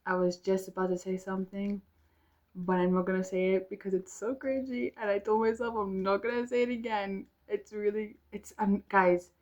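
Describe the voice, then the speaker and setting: A woman's voice: mid-range voice, fairly even intonation, normal speed, a little mellow, highs cut off. A young woman from South Africa whose first language is Afrikaans, chatting casually to camera at home, rambling with many ums and restarts. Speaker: vlogger. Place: home room with music downstairs.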